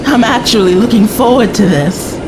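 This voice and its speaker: An actress playing a spirited, cheeky young woman companion in a science fiction audio drama, expressive and teasing. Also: medium pitch, normal speed, noisy background, lightly acted. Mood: impressed, teasing.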